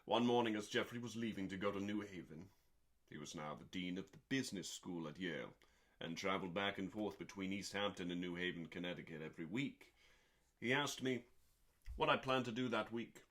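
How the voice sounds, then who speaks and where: Low-voiced man giving a dramatic reading. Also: a little fast, fairly bright tone. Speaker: host of a cookbook reading show. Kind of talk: slow dramatic book reading, meant as calming bedtime listening.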